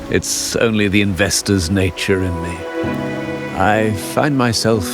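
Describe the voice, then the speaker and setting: An actor playing a courteous, cultured old gentleman in a video game, musing in formal, genteel phrases. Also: on the low side, takes it slowly, over loud music. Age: older.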